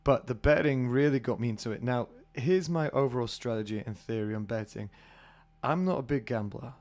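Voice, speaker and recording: A British man hosting a casual solo podcast, husky from a cold, chatty and rambling with many fillers. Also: mid-range voice, fairly quick, darkish tone. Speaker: podcast host.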